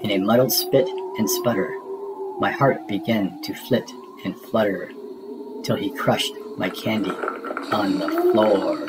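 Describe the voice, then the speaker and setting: Slow, low-voiced man telling a spooky story. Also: fairly even intonation, over loud music, rough recording. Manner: theatrical, deliberate. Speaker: storybook narrator. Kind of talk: dramatic rhyming read-aloud of a spooky children's story.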